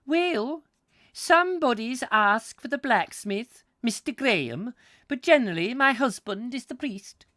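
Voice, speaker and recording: Man putting on a high, broad-Scots woman caretaker. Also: expressive, measured pace, sharp tone, no echo, clean studio sound, full character voice. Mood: chatty, matter-of-fact. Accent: Scottish. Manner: broad exaggerated dialect. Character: chatty Scottish woman caretaker at a tourist museum.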